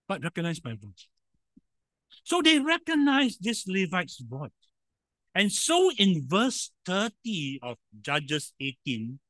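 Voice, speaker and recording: A man preaching a sermon in a loud voice, emphatic, with sudden swings in volume and frequent rhetorical questions. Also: a little high, no room sound, rough recording.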